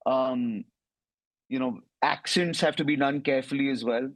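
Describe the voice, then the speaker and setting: A man's voice: mid-range voice, no room sound, rough recording. Indian man answering interview questions, dry sound. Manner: reflective, quick asides with "you know". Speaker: interview guest, comedian.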